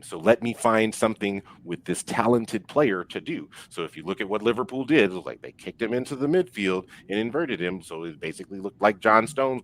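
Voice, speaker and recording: A man's voice: deep voice, fairly bright tone, poor audio. A man giving opinions in a casual sports discussion, very informal and peppered with filler words. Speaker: podcast guest.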